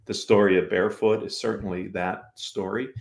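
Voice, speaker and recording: A man's voice: lowish voice, steady tone, normal speed, creaky. A man with an American accent hosting a conversational business interview, speaking in an affirming, complimentary way to his guests. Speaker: talk show host.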